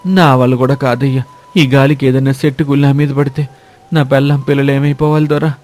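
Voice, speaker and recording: A man plays a scared, poor farmhand in a cartoon village tale. His medium-pitched voice is rustic and deferential. He sounds fearful and unwilling. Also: little pitch movement, a little fast, darkish tone, close to an everyday voice. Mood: scared, refusing. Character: Veerayya, frightened poor hired labourer, refusing his master. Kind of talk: dramatic moral-story cartoon voice acting.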